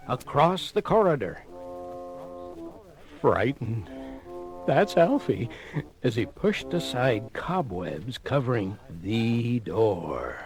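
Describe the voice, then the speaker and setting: A man narrating a children's storybook record in a theatrical, dramatic style. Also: medium pitch. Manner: theatrical, animated. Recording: 1980 vinyl read-along record with music.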